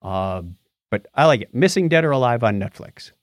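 A man's voice: mid-range voice, lively intonation, moderate pace, dry recording, studio quality. A man hosting a podcast in casual chat, with jokey, informal asides. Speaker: podcast host.

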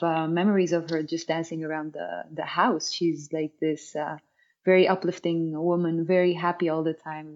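Low-voiced woman with dull lo-fi audio. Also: a little mellow. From Lebanon. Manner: rambling, many fillers and restarts. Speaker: interview guest, dancer. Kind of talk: casual interview storytelling.

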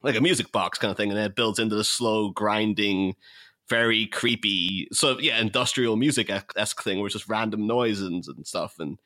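A podcast guest, this man has a fairly low voice with a bright, forceful edge. He chats casually about films, explaining as he goes in loose, rambling turns. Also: normal speed. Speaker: podcast guest, co-host of a film podcast. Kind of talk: casual film discussion.

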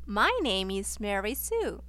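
A woman's voice: mid-range voice, big pitch swings, takes it slowly, bright, forceful tone. A woman in her twenties from Peru, a native Spanish speaker, answering practice interview questions in careful English. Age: 20s.

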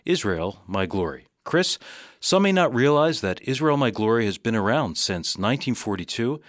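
A man's voice: medium pitch, big pitch swings, normal speed, no room sound, clean studio sound. A man reading scripted radio promotion in a polished announcer manner.

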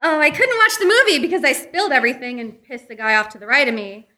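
A woman acting as a fed-up, scornful girlfriend breaks up in a radio comedy sketch. The voice is high and bright, with wide, emphatic swings of sarcasm. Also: very animated, fast talker, heavily acted. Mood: sarcastic. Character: exasperated, sarcastic woman dumping a clingy ex. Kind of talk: live old-time radio comedy sketch.

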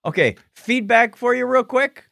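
Lively, jokey man co-hosting a podcast. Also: very high-pitched, normal speed, vocal fry, no echo, clean studio sound. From Phoenix, Arizona. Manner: jokey, upbeat, laughs often. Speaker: podcast co-host. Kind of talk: casual chat.

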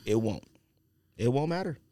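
Emphatic man with very lively intonation. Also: medium pitch, normal speed, dry recording, studio quality. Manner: emphatic, insistent, repeats himself. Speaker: podcast co-host. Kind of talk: casual sneaker podcast banter.

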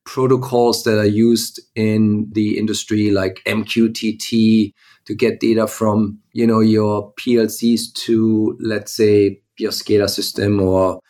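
A man's voice: lowish voice, flat delivery, takes it slowly, darkish tone. A man giving conversational, explanatory answers as an interview guest. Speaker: guest, tech company CEO.

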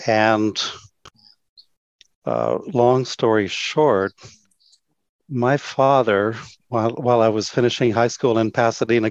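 A man in his sixties speaks as a podcast guest in a middle-pitched voice. He tells his story in an easygoing, unhurried way. His audio comes through muffled and completely dry, as if over a remote call. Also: no room sound. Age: 60s. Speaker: interview guest, mission organization president. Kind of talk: casual interview chat.

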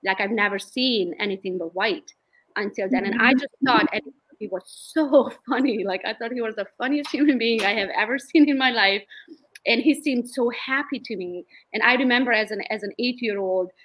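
A woman in her thirties from Romania, speaking with a Romanian accent, telling lively stories as a podcast guest and laughing often. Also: fairly high pitch, brisk, poor audio. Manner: lively, chatty storyteller who laughs often. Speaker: guest, real estate agent. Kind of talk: casual podcast interview.

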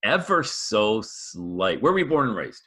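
A man with a low, forceful voice and a hyped, pumped-up way of talking. He hosts a motivational podcast interview over a video call and leans hard on energy and encouragement. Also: sing-song, unhurried. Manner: hyped, pumped-up, shouty catchphrases. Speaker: podcast host.

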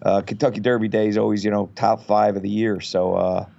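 Raspy-voiced man with a head cold. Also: on the low side, brisk, lo-fi sound. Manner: relaxed, rambling, many fillers. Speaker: guest from a betting site, marketing. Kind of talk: casual podcast interview.

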